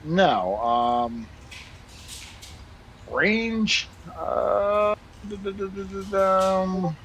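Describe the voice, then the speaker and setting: Higher-voiced man with a Baltimore accent. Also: very slow. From United States. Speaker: guest pilot in a flight-sim stream voice chat. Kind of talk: casual chat. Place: voice chat on a game stream. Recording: steady background noise.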